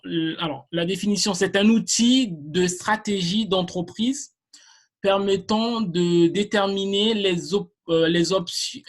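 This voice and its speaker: A marketing trainer giving a live online class, explaining basics step by step to viewers, often restarting sentences and pausing on filler sounds. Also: mid-range voice. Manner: addresses viewers directly and explains points step by step.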